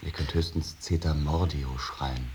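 A man's voice: a bass voice, steady tone, unhurried, a little mellow, slight background noise. An actor playing a sullen, cynical young man in a German radio drama, mocking, dismissive and insolent. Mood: defiant. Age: young adult. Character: sullen, cynical, defiant young bohemian son.

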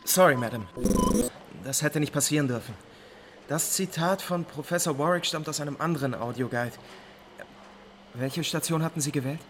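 An actor playing a courteous, helpful museum attendant in a radio drama, speaking in a polite conversational way. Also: male voice, on the high side, normal speed, long pauses, noisy background, lightly acted. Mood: courteous, informative.

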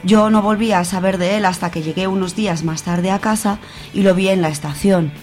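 An earnest young Spanish woman in a radio soap, acted by a woman, reading a letter politely in a mid-pitched voice. Music plays faintly behind her. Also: fairly even intonation, a little fast, a little mellow, lightly acted. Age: young adult.

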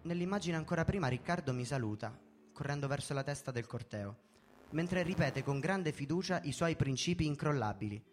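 A man reading a first-person story in a measured, theatrical way, as a dramatic narrative performance. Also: a little high, animated, a little hiss or hum. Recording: music behind the voice. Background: background music.

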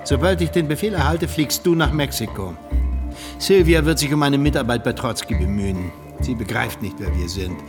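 An actor playing a controlled, authoritative Soviet agent handler in a radio drama. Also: male voice, mid-range voice, normal speed, over music, close to an everyday voice. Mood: cold, businesslike.

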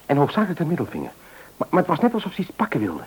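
An actor playing an earnest, excitable son in a radio play, stumbling and repeating words as he speaks. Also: fairly high pitch, rapid-fire, slightly rough, full character voice, rough recording. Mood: excited.

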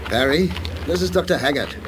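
Hearty, animated male space pilot, played by an actor. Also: on the high side, brisk, slight background noise, natural voice, low-quality recording. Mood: relaxed. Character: brash, plucky male space pilot, loyal sidekick. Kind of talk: German science-fiction radio drama acting.

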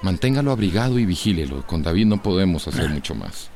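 A man playing a steady, decisive village doctor in a radio drama, authoritative and reassuring. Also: low voice, quick pace, loud music behind the voice.

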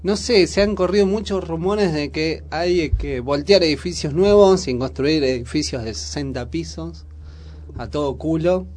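A man's voice: fairly high pitch, a little slow, darkish tone. A man with a Buenos Aires accent chatting casually about current affairs as a radio host, informal and full of questions. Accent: Buenos Aires Argentine.

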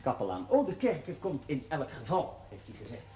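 An actor playing a genial, bossy husband hosting a party in a naturalistic 1970s TV drama. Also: male voice, mid-range voice, wildly swooping pitch, moderate pace. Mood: busy, wry.

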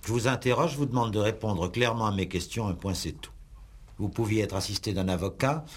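A curt bossy judge in an old radio play, low husky voice. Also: male voice, brisk. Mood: stern, dismissive. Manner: authoritative, curt, condescending. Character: stern, impatient male examining magistrate. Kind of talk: 1960s radio drama acting.

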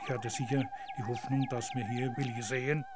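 A man voicing a calm, reflective searcher for toads in a radio drama monologue styled as a nature report. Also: medium pitch, normal speed, very noisy, natural voice. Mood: calm, disappointed.

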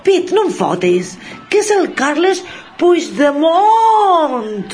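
A woman playing an excitable, bossy female activist friend with a Catalan accent, exclaiming insistently. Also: very high-pitched, drawn-out words, slight background noise, heavily acted. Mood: eager, scolding.

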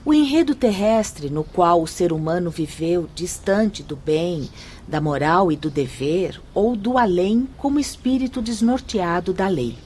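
A woman playing a serene, learned spirit guide in a radio drama, speaking in a formal, measured, instructive and firm manner. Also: fairly low pitch, lively intonation, a little mellow, a little hiss or hum. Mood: patient, instructive. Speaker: actress in a radio play. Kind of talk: radio drama acting, solemn and didactic.

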